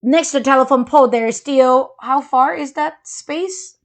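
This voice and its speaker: A woman's voice: high-pitched, moderate pace. A woman, co-hosting a casual parenting chat and telling personal stories earnestly. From Malaysia. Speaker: co-host, parent.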